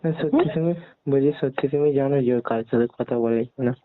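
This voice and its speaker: A young man, talking casually and haltingly on a private call, circling around his questions. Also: mid-range voice. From West Bengal, India. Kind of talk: casual private phone conversation. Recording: narrow tinny phone line with noise.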